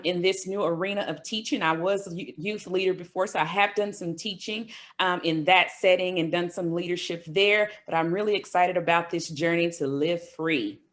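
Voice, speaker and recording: A woman in her forties from Mississippi introduces herself as a guest. She speaks in an earnest, orderly way. Her voice sits slightly low. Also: fairly bright tone. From Mississippi, USA. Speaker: guest, healthcare worker. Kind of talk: self-introduction in an interview.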